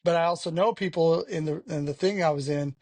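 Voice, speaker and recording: A man's voice: fairly high pitch, fairly quick, a little mellow, no echo. A man talking frankly as an interview guest, rambling with lots of "you know" fillers. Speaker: podcast guest. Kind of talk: candid conversational interview.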